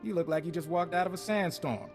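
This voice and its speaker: An actor playing a friendly, wry intelligence agent in a video game. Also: male voice, high voice, fast talker, in a large hall, music in the background. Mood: friendly, relieved.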